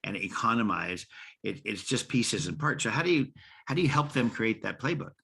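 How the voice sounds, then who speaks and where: Gravelly, forceful man hosting. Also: fairly low pitch, animated, a little fast. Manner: rambling, many restarts and repeated words. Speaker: podcast host. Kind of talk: conversational podcast interview.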